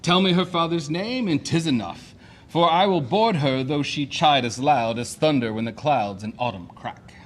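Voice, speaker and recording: A man playing a blustering, boastful gentleman fortune-hunter in a declamatory Shakespearean audio play. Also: fairly high pitch, moderate pace, a little hiss or hum, natural voice. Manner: declamatory, swaggering.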